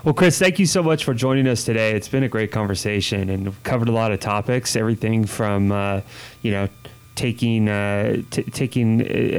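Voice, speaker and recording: A man's voice: on the low side, darkish tone, clean tone. Male podcast host signing off. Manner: friendly, measured, scripted wrap-up. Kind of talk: podcast outro and sign-off.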